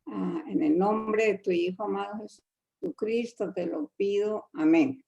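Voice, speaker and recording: A woman's voice: mid-range voice, measured pace, vocal fry, warm tone, highs cut off. A woman with a Colombian accent giving a devotional talk and prayer, hesitant and humble, with many fillers. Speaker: guest speaker in a women's Bible group.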